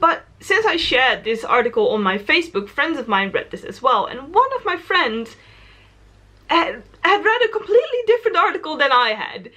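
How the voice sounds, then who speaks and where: Young woman, Dutch accent, bright tense voice, fairly high, earnest reflective vlog talk. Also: big pitch swings, normal speed. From Netherlands. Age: 20s. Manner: thoughtful, earnest, self-correcting. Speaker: travel vlogger.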